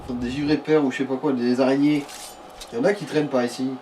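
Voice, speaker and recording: A man's voice: medium pitch, fairly even intonation, quick pace. A man doing casual, wry and jokey co-commentary on a video game. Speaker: let's play co-commentator. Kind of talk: casual joking gaming commentary. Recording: distant mic with faint noise.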